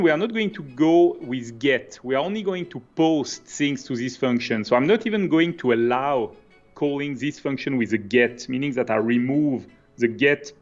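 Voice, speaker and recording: A middle-aged man with a Swiss French accent, a native French speaker, calmly walking through code step by step on a live stream. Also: a little high, lo-fi sound. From Switzerland. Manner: explains step by step, relaxed and conversational. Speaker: co-host, developer presenter. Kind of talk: live coding stream with commentary. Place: home office, indoors. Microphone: webcam video call.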